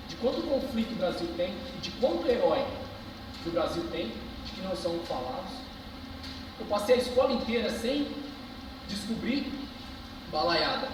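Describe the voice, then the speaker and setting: A man's voice: high voice, background noise. A man in his twenties from Brazil, with a São Paulo interior accent, giving a passionate, emphatic live talk laced with street slang. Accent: São Paulo interior Brazilian Portuguese. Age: 20s. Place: cultural center hall. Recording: echoey hall recorded from far off.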